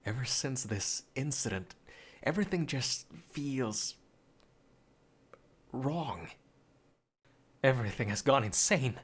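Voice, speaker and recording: A man's voice: mid-range voice, expressive, takes it slowly, long pauses, breathy edge. A man voicing a troubled, bitter young man in a grim, brooding game monologue. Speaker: game protagonist.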